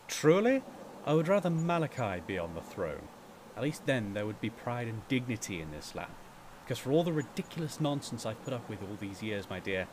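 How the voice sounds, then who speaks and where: A man's voice: mid-range voice, lightly acted. Cold, pompous man playing a king, noisy recording. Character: stern, contemptuous king and disappointed father. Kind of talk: amateur fantasy audio drama acting. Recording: noticeable background noise.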